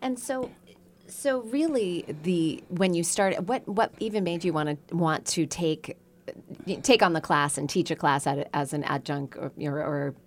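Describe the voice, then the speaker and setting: A woman's voice: on the low side, measured pace. A woman co-hosting a radio finance talk show, conversational and repeating words as she thinks. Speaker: radio co-host.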